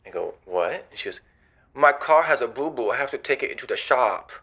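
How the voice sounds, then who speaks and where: A man playing a flustered, exasperated groom-to-be phoning an advice show, halting and stammering, on slightly dull phone-in sound. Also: medium pitch, expressive, bright, forceful tone. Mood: bashful, hesitant. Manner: halting, stammering, quotes dialogue animatedly. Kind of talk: improvised comedic phone-in.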